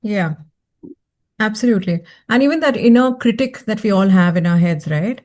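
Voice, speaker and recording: A woman's voice: mid-range voice, lively intonation, normal speed, darkish tone. A woman hosting a podcast interview, easygoing and chatty with many fillers. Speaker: podcast host. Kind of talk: casual interview chat.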